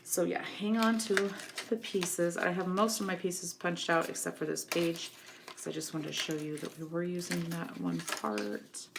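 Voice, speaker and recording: A woman's voice: lowish voice, normal speed, vocal fry. Scratchy-voiced woman teaching a craft. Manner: relaxed, chatty, many ums. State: getting over a cold, scratchy voice. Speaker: craft instructor. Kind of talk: scrapbooking tutorial.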